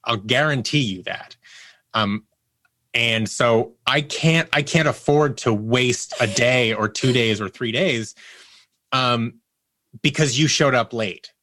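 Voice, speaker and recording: A man's voice: mid-range voice, slow pace, sharp tone, no echo. Candid man telling anecdotes, dry sound. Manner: animatedly acts out quoted dialogue, candid. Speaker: interview guest, audio drama producer. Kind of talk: casual conversational storytelling.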